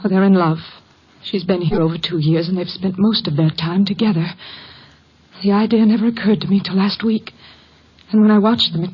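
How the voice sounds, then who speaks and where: Actress as a troubled wife in old radio mystery. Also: female voice, medium pitch, fairly even intonation, brisk, slightly rough, close to an everyday voice. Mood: suspicious. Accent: American. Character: anxious married woman client fearing for her life. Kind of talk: 1950s American radio drama acting. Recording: muffled, noisy old radio recording.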